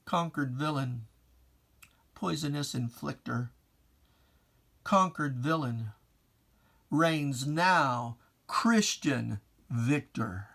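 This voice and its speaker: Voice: mid-range voice, drawn-out words, creaky, pauses often, a bit bright. A person giving a dramatic reading of religious verse in a solemn, declamatory and emphatic manner with archaic diction. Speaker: poetry reciter.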